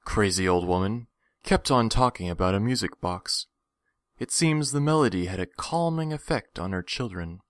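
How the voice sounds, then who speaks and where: Man acting as a smooth, menacing low-voiced villain. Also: animated, dry recording, studio quality, close to an everyday voice. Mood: sly, taunting. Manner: theatrical, condescending, drawn-out phrasing. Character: menacing, greedy, smooth-talking villain stranger, adult man. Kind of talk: audio drama acting.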